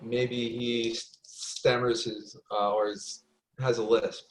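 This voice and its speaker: A man's voice: medium pitch, flat delivery, slow pace, lightly acted, low-quality recording. A man playing an earnest film producer running a story meeting in a table read. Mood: businesslike.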